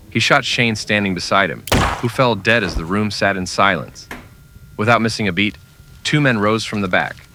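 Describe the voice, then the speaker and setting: A man narrating a scripted western in old-time radio style, with dramatic, deliberate storytelling. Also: on the low side, bright, forceful tone, a little hiss or hum.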